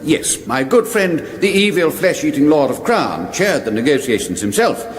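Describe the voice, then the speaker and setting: A man's voice: a little high, very animated, moderate pace. A man playing a complacent, oblivious older king in a radio comedy, dithering and chiding in a fussy manner. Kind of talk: broadcast radio comedy acting. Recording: echoey hall with background noise.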